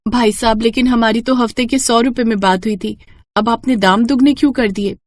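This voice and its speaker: A woman's voice: on the high side, fairly even intonation, a little fast, no room sound, natural voice. A woman voicing a dutiful, worried young village daughter in an earnest, respectful cartoon story voice. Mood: troubled, pleading.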